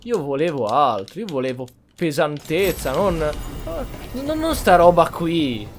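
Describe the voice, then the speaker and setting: A man's voice: a little high, very animated, moderate pace. An Italian man giving casual, blunt, sardonic commentary as he plays a video game. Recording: faint game music behind.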